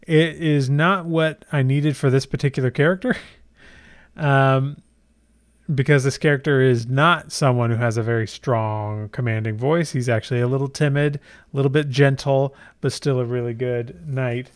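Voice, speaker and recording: A middle-aged man hosting a casual software tutorial, explaining conversationally and thinking aloud as he goes. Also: mid-range voice, steady tone, moderate pace. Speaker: tutorial host.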